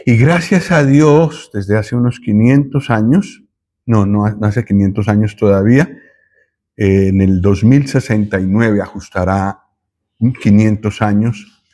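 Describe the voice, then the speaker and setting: Slow, unhurried teacher man. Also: fairly low pitch, dark tone. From Colombia. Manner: slow, thoughtful, with pauses and self-corrections. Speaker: Bible teacher. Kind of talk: Bible teaching talk.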